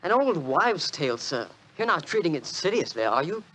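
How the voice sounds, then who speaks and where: A man's voice: fairly high pitch, very animated, moderate pace, fairly bright tone, husky, heavily acted, poor audio. An actor playing a dutiful, apologetic British male secretary, formal and deferential, in 1940s radio drama style. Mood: puzzled.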